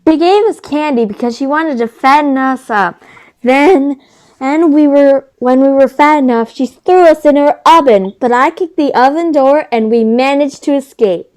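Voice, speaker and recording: A woman's voice: sits high, moderate pace, a little mellow, natural voice. A woman playing an earnest, probing storybook character in a readers theater reading, speaking plainly.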